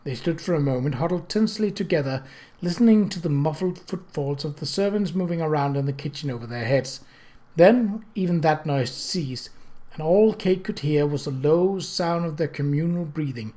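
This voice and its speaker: A man narrates a story from a written text as an audiobook reader. His voice sits a little higher than most men's. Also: a little mellow.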